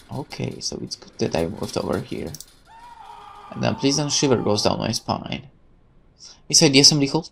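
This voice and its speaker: A woman's voice: a bass voice, creaky. A woman reading a visual novel game aloud as narrator and player, adding casual commentary.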